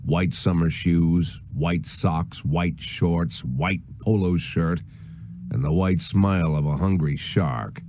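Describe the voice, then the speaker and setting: An actor playing a jaded, aging police chief in a video game, with wry, world-weary, deadpan noir delivery. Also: male voice, deep voice, no echo. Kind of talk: noir scripted narration and drama dialogue.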